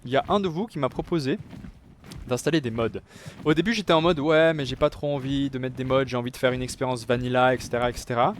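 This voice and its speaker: Young guy chatting thru his gameplay to his viewers, bit high voice, faint hiss n clicks behind. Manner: chatty, talks to viewers as "les gars", many fillers. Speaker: gaming youtuber. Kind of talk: casual let's-play commentary.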